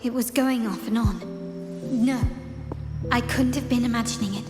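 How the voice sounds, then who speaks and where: A woman's voice: fairly high pitch, steady tone, unhurried, close to an everyday voice. An actress playing a focused, inquisitive young woman investigator in a video game, pensively questioning herself aloud. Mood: doubtful, pondering. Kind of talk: scripted video game monologue, thinking aloud. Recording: roomy echo under clear music.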